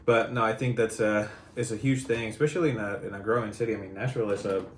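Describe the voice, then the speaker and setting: A man from Spain hosting a casual podcast conversation, chatty and quick to laugh. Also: on the low side, steady tone. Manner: chatty, laughs often, many restarts. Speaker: podcast host. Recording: echoey room with faint noise.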